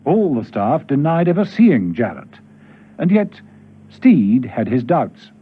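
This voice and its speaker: A man's voice: medium pitch, sing-song, slow pace, breathy edge. A man narrating a scripted radio drama as its storyteller. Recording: dull old radio recording with music.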